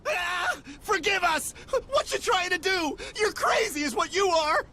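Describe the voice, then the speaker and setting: An actor playing a crude, blustering but cowardly bandit in a video game, with a rough, pleading manner. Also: male voice, extremely high pitch, sing-song, a little slow, bright, forceful tone, exaggerated voice. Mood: terrified pleading. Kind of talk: dramatic video game acting.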